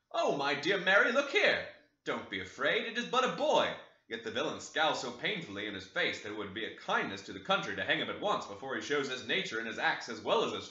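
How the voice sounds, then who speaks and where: A man voicing a pompous, indignant old male magistrate who speaks loudly, in a dull echoey recording. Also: mid-range voice.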